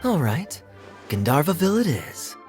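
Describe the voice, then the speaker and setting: An actor voicing a suave, wry adult knight in a video game. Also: male voice, a little high, very animated, moderate pace, music in the background.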